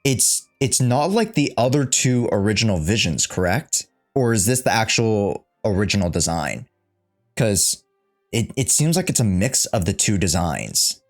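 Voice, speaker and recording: A man's voice: lowish voice, big pitch swings, measured pace, faint music behind. A man giving casual reaction commentary on a game, musing aloud, repeating himself and checking his understanding as he goes.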